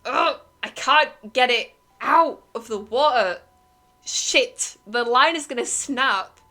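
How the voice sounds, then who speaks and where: A woman's voice: high voice, slow pace, pushed and bright, pitched above the performer's own voice, full character voice. A woman putting on an old straining grandpa. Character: gruff old grandfather fisherman.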